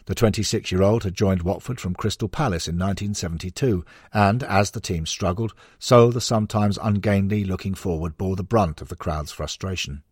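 Very deep-voiced man reading an audiobook. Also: brisk, hardly any pauses, a little mellow, slightly rough, no echo, studio quality. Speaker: narrator reading a non-fiction book. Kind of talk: scripted audiobook narration of sports history.

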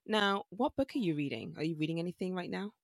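A woman with a low speaking voice hosts a casual interview in an upbeat, chatty way, often echoing what her guest says. Her voice sounds dry and close, with no room echo around it. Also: lively intonation, fast talker, gravelly. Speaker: interview host.

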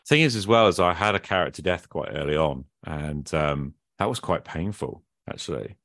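A man's voice: a bass voice, animated, normal speed, a bit bright, no room sound. A man speaking as a podcast host in casual, reflective conversation, thinking aloud and often qualifying himself.

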